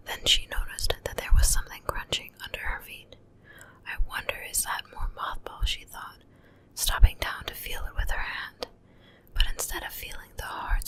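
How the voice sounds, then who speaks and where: Woman doing asmr storybook reading, all whisper, crisp bright hiss, super close to the mic, gentle and hushed. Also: very high voice. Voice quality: soft breathy whisper. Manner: hushed, gentle, unhurried bedtime-story delivery. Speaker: ASMR reader. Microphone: close ear-to-ear stereo microphone.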